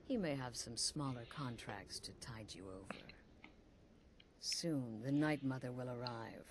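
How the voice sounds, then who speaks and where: An actress voicing a cool-headed female leader of an assassin guild in a video game, speaking softly and slipping into whispers. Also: rumbling low, a little hiss or hum, heavily acted. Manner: soft, intimate, dropping to whispers.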